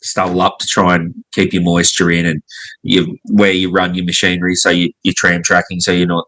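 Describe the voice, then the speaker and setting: A man's voice: low-pitched, monotone, fairly quick, bright, forceful tone, rough recording. A man with an Australian accent chatting casually as an interview guest, laid-back and slangy. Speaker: pub owner, interview guest. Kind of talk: casual chat.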